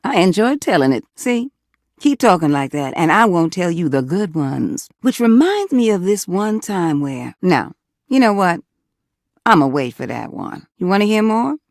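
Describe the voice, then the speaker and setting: Game role: sassy, nurturing older Southern belle of a water heater; low, very animated, teasing and folksy. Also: female voice, normal speed, no room sound, clean studio sound. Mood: wistful, teasing. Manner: teasing, folksy, full of endearments. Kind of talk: video game character dialogue.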